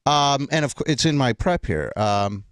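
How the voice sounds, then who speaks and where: A man hosts a radio interview, his pitch swinging in a lively way as he asks questions. He stumbles and restarts often, padding with fillers. The sound is dry and close to the studio mic. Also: no room sound. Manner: halting, many restarts and fillers. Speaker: radio show host.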